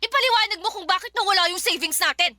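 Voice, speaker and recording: A woman's voice: extremely high pitch, quick pace, sharp tone, dry recording. A woman playing an exasperated young fiancée in a radio drama, questioning her partner in an emphatic, scolding manner. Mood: angry, hurt. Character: exasperated young fiancée confronting her boyfriend over money. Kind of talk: Filipino radio drama acting.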